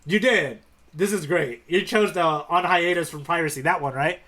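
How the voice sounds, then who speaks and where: A man's voice: fairly high pitch, normal speed, bright, forceful tone. A man co-hosting a podcast, talking casually and enthusiastically about comics. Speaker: podcast co-host. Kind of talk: casual manga discussion chat.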